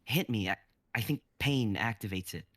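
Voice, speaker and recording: A man's voice: on the low side, normal speed, a bit bright, no room sound, studio quality. A man reading the lines of a young man who hesitantly pleads to be hit so his powers will switch on. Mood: urgent.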